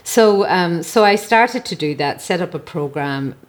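A woman's voice: on the low side, lively intonation, measured pace. A middle-aged or older woman with an Irish accent, telling her own story in a conversational, reflective way as a podcast guest. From Ireland. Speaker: podcast guest, mental health advocate. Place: at home, indoors.

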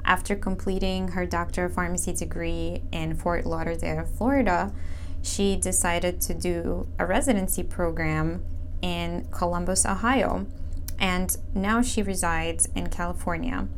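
Enthusiastic female podcast host, low-ish voice, slight background hiss. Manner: upbeat, enthusiastic. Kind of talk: scripted intro then interview.

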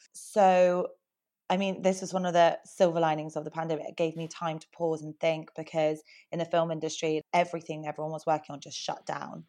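A young woman with a croaky voice, chatting earnestly as a podcast guest. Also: low-pitched, a little mellow. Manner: chatty, earnest, fillers like "like" and "sort of". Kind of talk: casual interview chat.

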